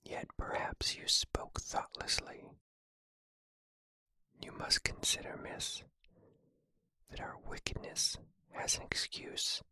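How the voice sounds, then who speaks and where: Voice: slow, long pauses, sharp tone, no echo. A reader voicing a proud, theatrical thin bandit man from Italy in a grandiose, courtly whisper for a storybook. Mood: wounded, reproachful.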